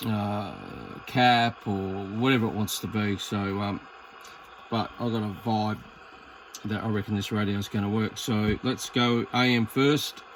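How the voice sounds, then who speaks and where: A man's voice: lowish voice, fairly even intonation, measured pace, slight background noise, poor audio. A man with an Australian accent chatting casually and hesitantly as he presents a hobby video, rambling in a laid-back way. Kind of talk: casual chat while demonstrating equipment.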